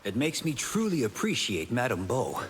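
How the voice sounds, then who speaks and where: An actor playing an earnest, dutiful young warrior champion speaking sincerely in a video game. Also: male voice, mid-range voice, wildly swooping pitch, moderate pace. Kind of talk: video game fight banter. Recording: reverberant game audio with background noise.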